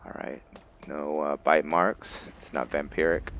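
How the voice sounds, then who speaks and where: Very deep-voiced man, slow and musing. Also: flat delivery, a bit bright, dull audio. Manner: musing, thinking aloud in fragments. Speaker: gaming video host roleplaying a character. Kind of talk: casual gameplay narration with roleplay.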